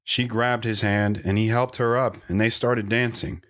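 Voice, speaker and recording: Guy playing a drifter narrating his childhood, audio drama, reflective, fairly low voice. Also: flat delivery, normal speed, a bit bright, lightly acted. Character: reflective lone wandering man recounting his boyhood.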